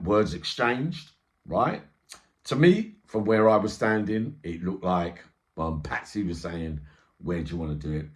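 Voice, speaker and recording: A middle-aged man telling an eyewitness story informally, in streetwise slang with frequent check-in tags. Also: sits low. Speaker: storyteller and channel host.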